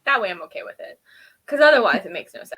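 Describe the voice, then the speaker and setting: A woman giving a casual, chatty episode recap as a show co-host, giggly and animated, acting out imagined reactions. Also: mid-range voice, quick pace, husky.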